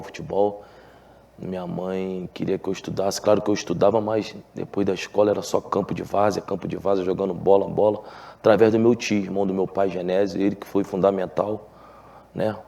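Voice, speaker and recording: A man's voice: deep voice, flat delivery, brisk, gravelly, warm tone. A man with a Carioca accent, a former footballer telling his story in a TV interview, earnest, with many filler tags and restarts. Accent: Carioca Portuguese. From Rio de Janeiro, Brazil. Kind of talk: relaxed TV interview.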